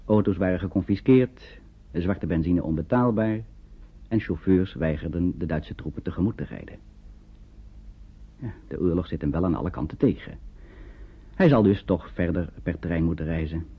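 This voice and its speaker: A man's voice: low voice, moderate pace, slightly rough, phone-quality sound. A man narrating a radio play as a storyteller, reading scripted prose in an old radio recording.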